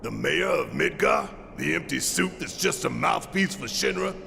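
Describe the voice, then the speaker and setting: An actor playing a gruff, suspicious, hot-tempered rebel fighter in a video game, gravelly and brash with streetwise slang. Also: male voice, on the high side, lively intonation, normal speed, sharp tone, big-room sound, slight background noise, exaggerated voice. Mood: incredulous. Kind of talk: dramatic video game acting.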